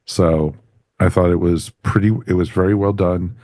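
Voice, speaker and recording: A man's voice: low voice, steady tone, moderate pace, dark tone, no room sound. A man hosting a podcast, giving a casual review in a rambling way that often circles back on phrases. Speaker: podcast host.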